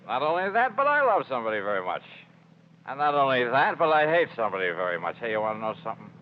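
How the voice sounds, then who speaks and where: A man's voice: mid-range voice, big pitch swings, fast, close to an everyday voice. An actor playing a drunken, spoiled young rich man in an old-time radio drama, rambling in a slurred, maudlin way. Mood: maudlin, belligerent. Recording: tinny, lo-fi old radio with noise.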